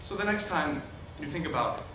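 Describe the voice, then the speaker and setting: Actor as earnest male pastor, weary, higher-pitched voice, very echoey hall, stage play reading. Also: lively intonation, normal speed, lightly acted. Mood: earnest preaching. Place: theatre at a live staged reading. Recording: echoey, noisy hall recorded from far off.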